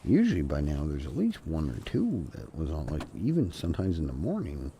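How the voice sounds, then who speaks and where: Super deep gravelly guy streaming a game, blocked-up nose from a cold, dark voice, bit of faint noise. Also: wildly swooping pitch, a little fast. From Canada. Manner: mumbling, talks to himself and the game. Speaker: gaming streamer. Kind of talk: casual solo game commentary.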